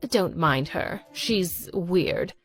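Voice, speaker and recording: Woman putting on a smug low-pitched British teenage boy. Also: animated, extremely slow, faint music behind. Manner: affected, sophisticated, teasing. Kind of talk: dramatized first-person story.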